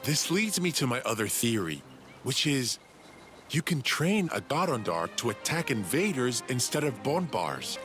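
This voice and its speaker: A man's voice: mid-range voice, sing-song, measured pace, fairly bright tone. A man voicing an eager, cocky young alien inventor who explains things condescendingly in a video game. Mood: eager explaining. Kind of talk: video game cutscene dialogue. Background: background music.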